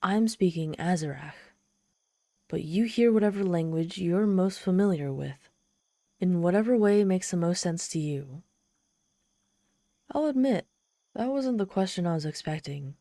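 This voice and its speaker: Ancient demon woman, played by a woman for ASMR audio drama: lowish, velvety dark voice, proud and theatrical with a vulnerable streak. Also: expressive, a little slow, pauses often, dry recording, clean studio sound. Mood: teasing, exasperated. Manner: haughty, theatrical, softening into shy vulnerability. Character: ancient proud tsundere demon woman, lonely, haughty, teasing. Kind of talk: ASMR audio roleplay, addressing the listener.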